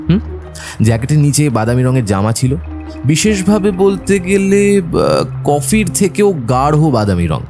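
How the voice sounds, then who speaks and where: An actor playing a thoughtful, observant detective's companion, telling the story in a measured, descriptive way for an audio drama. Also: male voice, medium pitch, warm tone, lightly acted. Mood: thoughtful, recalling. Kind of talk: scripted narration and audio drama acting.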